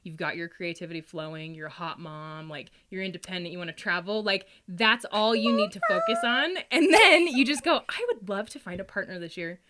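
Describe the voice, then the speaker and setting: A woman in a casual podcast chat, talking in an animated, informal way and often acting out little quotes. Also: lowish voice, a little fast, few pauses. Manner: animated, informal, frequent laughing asides and mimicked quotes. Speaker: podcast speaker.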